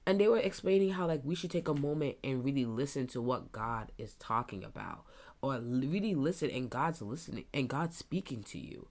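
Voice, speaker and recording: Young woman, twenties, stuffy nose, very low lively voice, rambling casual podcast host. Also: a little fast. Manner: rambling, thinking out loud. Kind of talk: casual solo podcast monologue.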